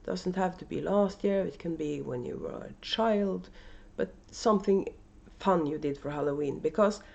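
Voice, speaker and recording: A woman's voice: fairly low pitch, moderate pace, gravelly, a little mellow, dull audio. A woman with a Norwegian accent chats casually and unscripted as host of a knitting video podcast at home, with many fillers and restarts. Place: at home, indoors. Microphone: camera microphone.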